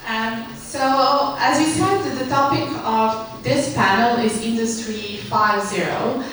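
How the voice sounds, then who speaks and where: Lady hosting a conference panel, kinda high voice, super echoey hall. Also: slow pace, fairly bright tone, faint music behind. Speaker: panel moderator. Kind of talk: moderating a conference panel in English. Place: large conference hall stage. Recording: distant echoey hall microphone, faint noise.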